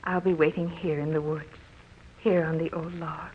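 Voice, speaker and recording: An actress playing a devoted, romantic young sweetheart, speaking earnest and solemn vows in 1940s radio drama style. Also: female voice, low-pitched, little pitch movement, moderate pace, slight background noise, lightly acted. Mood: tender, resolute. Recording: muffled old radio recording with music. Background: music.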